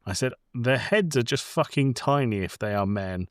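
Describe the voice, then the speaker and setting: A man's voice: mid-range voice, lively intonation, moderate pace, clean tone, no echo, clean studio sound. A man co-hosting a casual podcast, giving blunt opinions in a conversational way with some swearing. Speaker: podcast co-host. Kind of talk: casual movie discussion.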